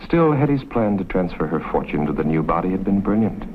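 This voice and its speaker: An actor narrating a 1960s horror film in a grave, ominous manner. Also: male voice, sits low, big pitch swings, brisk, a little hiss or hum. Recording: narrow-band old film soundtrack with music.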